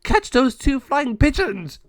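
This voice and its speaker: A man voicing a rowdy, mocking gargoyle henchman in a storybook, loud, goofy and exclaiming. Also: very high-pitched, big pitch swings, slow pace, dry recording, clean studio sound, voice pushed up, heavily acted. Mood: frantic.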